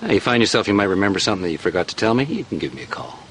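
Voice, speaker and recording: A menacing police officer who presses with pointed questions. His voice is very deep. Faint noise sits behind. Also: sing-song. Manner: menacing, probing, slow and pointed.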